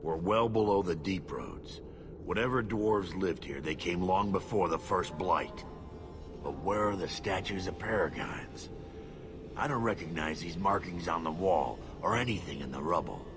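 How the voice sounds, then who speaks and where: Very deep, gruff dwarf leader. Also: male voice, normal speed, music in the background. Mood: puzzled. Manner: gruff, commanding. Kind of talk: dramatic video game dialogue.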